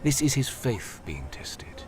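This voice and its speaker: A man playing a calm, fatherly high priest in a fantasy audio drama, speaking in a measured, gently admonishing way. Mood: calm, reassuring.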